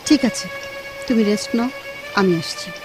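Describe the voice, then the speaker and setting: A woman's voice: medium pitch, normal speed, lightly acted. A woman acting a sensitive, insecure young wife in a radio play, speaking expressively with doting, chiding and plaintive turns. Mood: hurt, clipped. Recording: music under the dialogue. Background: background music.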